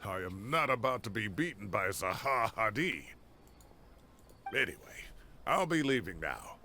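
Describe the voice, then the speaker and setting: Creaky, wildly animated old professor. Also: male voice, lowish voice, measured pace, a little hiss or hum, heavily acted. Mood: genial, animated. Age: older. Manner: theatrical, wordy, grandfatherly. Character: talkative, proud, chiding old male professor. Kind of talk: video game voice acting. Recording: game music under the voice.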